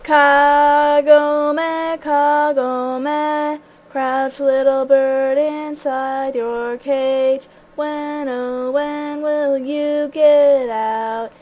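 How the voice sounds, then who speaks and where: Woman voicing a cheerful young boy, high-pitched. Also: little pitch movement, very slow, darkish tone, dull audio, faint music behind. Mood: sing-song. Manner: playful, teasing, sing-song chant. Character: cheerful, friendly elementary-age boy. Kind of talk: amateur fandub voice acting.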